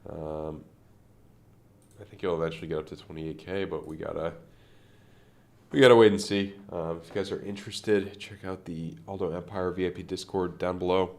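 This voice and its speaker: Casual male streamer, gravelly fry, congested nasal tone, loose unscripted chart talk. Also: deep voice, fairly even intonation, moderate pace. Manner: rambling, thinking aloud with many fillers. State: congested from a sinus infection. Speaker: crypto trading streamer.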